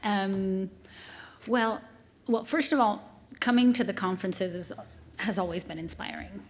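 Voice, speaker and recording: A woman's voice: mid-range voice, unhurried. A woman from Malta talking informally as a participant on a panel, representing her institution.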